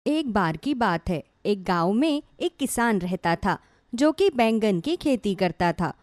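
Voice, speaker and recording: A woman's voice: medium pitch, very animated, a little slow, darkish tone, no room sound, studio quality. A woman reading scripted narration for a cartoon village tale as its storyteller.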